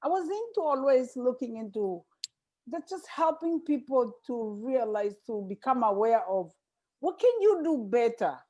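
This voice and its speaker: A woman's voice: on the high side, expressive, normal speed, mellow. A woman from Tanzania, a missionary sister, talking about her life in an earnest, reflective way on a video call. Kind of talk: casual conversation on a video call.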